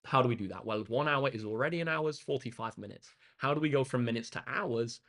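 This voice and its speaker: A young man with a slightly croaky voice, tutoring maths on a live stream in an upbeat, chatty way, reading out comments from chat. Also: medium pitch, fast, a bit bright. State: slightly hoarse. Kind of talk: live-streamed exam walkthrough.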